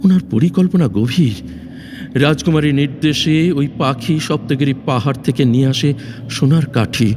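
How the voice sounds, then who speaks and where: A man acts a resolute, earnest young prince of a fairy-tale radio play. His mid-pitched voice carries formal, dramatic phrasing and sits in long reverb with faint noise behind it. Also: lightly acted. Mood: respectful, serious. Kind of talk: Bengali audio drama acting.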